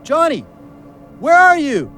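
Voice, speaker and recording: A loyal, joking hunting pal in an audio play. The voice is high and very lively in pitch, colloquial, with faint music behind. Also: male voice, takes it slowly, heavily acted. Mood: wary. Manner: teasing, colloquial. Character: joking, loyal hunting buddy, increasingly wary. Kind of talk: audio drama acting.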